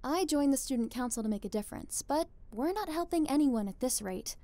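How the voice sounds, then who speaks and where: Woman voicing a calm student council girl. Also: high-pitched, a little fast. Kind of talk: amateur anime fan dub.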